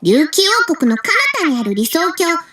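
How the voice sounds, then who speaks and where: A woman's voice: high voice, lively intonation, very fast, fairly bright tone. A woman voicing a bubbly, theatrical cat-maid girl with cutesy cat-like speech in a Japanese visual novel. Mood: grandly dramatic.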